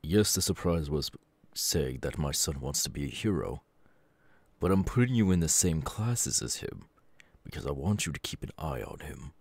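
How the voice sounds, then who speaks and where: A man playing a stern, gruff middle-aged superhero mentor and father in an ASMR audio roleplay, with a gravelly, husky voice and a measured, grave manner. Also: a bass voice, animated, a little mellow, no echo, exaggerated voice. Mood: serious, wary. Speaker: voice actor.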